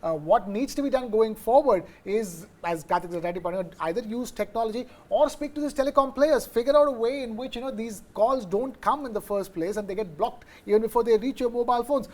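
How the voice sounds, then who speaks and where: Fast-talking Indian man on a news panel. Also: very high voice, darkish tone. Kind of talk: unscripted news commentary.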